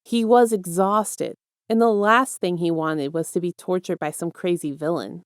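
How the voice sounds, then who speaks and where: A woman narrates a piece of fiction in a somewhat low voice. She reads from a script as a storyteller. The recording is very dry, with hardly any room sound. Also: normal speed, smooth, dry recording. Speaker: podfic narrator.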